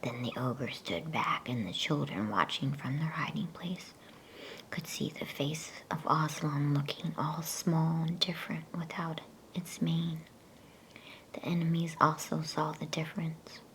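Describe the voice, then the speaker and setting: A woman reading a storybook aloud in a hushed, soothing ASMR whisper, taking her time as a bedtime reader. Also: low voice, steady tone, unhurried, a little hiss or hum, rough recording.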